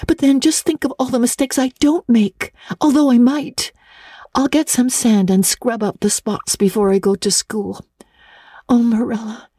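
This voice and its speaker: A woman voicing an earnest, talkative, excitable young orphan girl in a storybook reading, with gushing, dramatic delivery. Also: a little high, husky, dry recording, clean studio sound, voice pushed up. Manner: gushing, dramatic, breathless emphasis.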